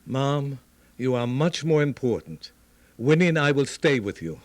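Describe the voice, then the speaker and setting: A man's voice: mid-range voice, sing-song, unhurried, dry recording. A man playing an earnest, devoted scientist and caring son in an old-time radio drama. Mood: worried, urgent. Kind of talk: Golden Age radio drama acting.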